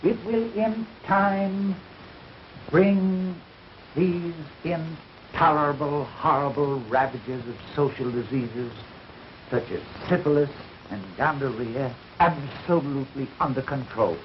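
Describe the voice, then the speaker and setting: An actor playing a solemn, high-minded benefactor, speaking formally and grandly in a 1930s film. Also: male voice, slow. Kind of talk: slow oratorical 1930s film acting. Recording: dull, hissy 1930s film soundtrack.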